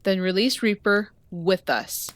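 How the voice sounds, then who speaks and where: A woman's voice: medium pitch, measured pace, no room sound, natural voice. A woman playing an earnest, wary monster hunter in a fantasy audio drama, guarded and respectful in manner. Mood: wary, questioning.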